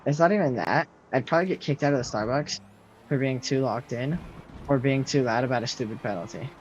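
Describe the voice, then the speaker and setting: A young man in his late teens with a loud, projecting voice, rambling casually to his viewers while he games and answers their messages. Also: medium pitch, fairly quick, slight background noise. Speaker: streamer. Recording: slightly muffled, faint game music behind.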